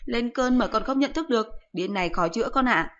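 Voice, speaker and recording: A woman's voice: on the high side, expressive, fairly quick, lo-fi sound, voice pushed up. A woman voicing a blunt, sarcastic middle-aged mother who nags her son. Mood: scolding. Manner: sharp, sarcastic nagging.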